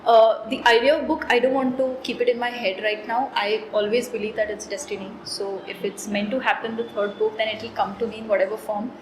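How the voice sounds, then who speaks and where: A woman, thinking aloud in a relaxed interview about her writing and filling her talk with "you know". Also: a little high, a little fast, hardly any pauses, reverberant, slight background noise, poor audio. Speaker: author being interviewed. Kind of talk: casual reflective interview talk. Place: cafe.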